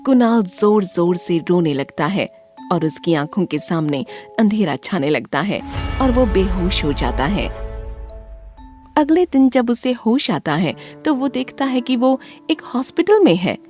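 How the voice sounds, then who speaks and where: A woman narrating a scripted horror story in a dramatic, deliberate storytelling manner. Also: mid-range voice, very animated, a little mellow, soft background music.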